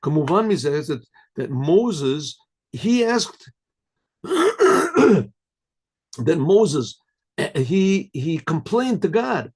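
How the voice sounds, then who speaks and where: A man teaching an informal Torah class as a rabbi, talking in a conversational way with rhetorical questions and often clearing his throat. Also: a little high, big pitch swings, very slow, long pauses.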